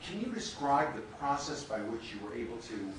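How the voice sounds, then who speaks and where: A man's voice: mid-range voice, big pitch swings, normal speed. Male moderator in a very echoey hall. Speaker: panel moderator. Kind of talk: moderating a live audience question session. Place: large room with an audience. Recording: echoey hall, distant mic, noisy.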